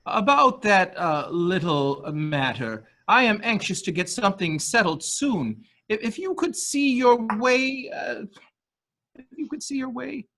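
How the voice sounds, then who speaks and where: A nervous, deferential manservant played by a man, with a bright, sharp voice on the high side. His pitch moves about a lot as he speaks, hesitant and obsequious, in a murder mystery reading. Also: sing-song, a little slow, heavily acted. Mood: hesitant, pleading. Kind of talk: dramatic reading.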